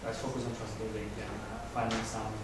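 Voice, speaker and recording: A man giving a hesitant, halting academic answer at a conference, searching for words, recorded from far off in a large lecture hall. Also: fairly low pitch, completely flat delivery. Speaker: conference speaker answering questions. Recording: echoey hall from far off, clear noise.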